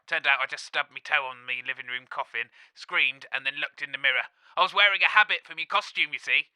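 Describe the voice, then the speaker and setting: A daft, earnest woman storyteller voiced by a man in comedy audio drama. The voice is very bright and sharp, recorded close and dry, with dramatic but colloquial delivery. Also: on the high side, fast talker, dry recording, rough recording. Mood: sheepish. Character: daft, earnest woman telling ghost stories.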